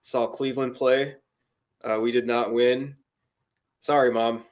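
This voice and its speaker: A man's voice: medium pitch, level tone, a little slow. A man of about thirty-one chatting casually as a guest sports fan, with a rueful, self-deprecating humour. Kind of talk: casual chat.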